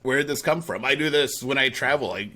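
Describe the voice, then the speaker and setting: A man's voice: mid-range voice, brisk, pushed and bright. A man with a Midwestern accent, co-hosting in casual conversation, who tells stories animatedly and laughs easily. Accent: Midwestern American. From South Dakota, USA.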